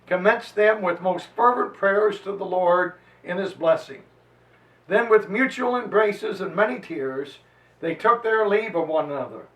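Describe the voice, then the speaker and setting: A man giving a dramatic historical reading and lecture with theatrical, deliberate emphasis. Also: sits high. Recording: echoey room, distant microphone.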